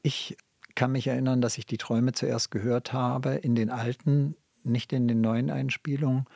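Older male academic interviewee, dark husky voice, reflective and halting, close dry sound. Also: mid-range voice, no room sound. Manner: halting, searching, emphatic repetition. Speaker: literary scholar, interview guest. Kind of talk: reflective spoken interview answers.

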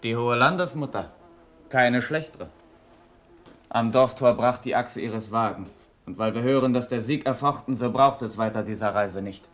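Male actor as grave army officer, mid-pitched, declamatory, measured verse, impassioned, classical radio play. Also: fairly bright tone, close to an everyday voice. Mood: courteous, brisk. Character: grave cavalry officer reporting a battle, solemn and impassioned.

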